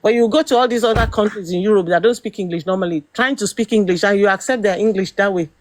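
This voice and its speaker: A middle-aged Nigerian woman hosting a talk, ranting forcefully and firing off rhetorical questions. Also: mid-range voice, fast talker, a little hiss or hum. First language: Yoruba. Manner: emphatic, rhetorical questions, rising to exclamations. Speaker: host. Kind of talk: opinionated commentary.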